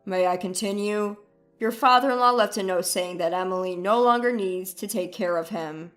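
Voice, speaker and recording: A formal, even-tempered lawyer, played by a woman dropping her pitch, speaking in a measured, official way. It is story narration over faint music. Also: natural voice.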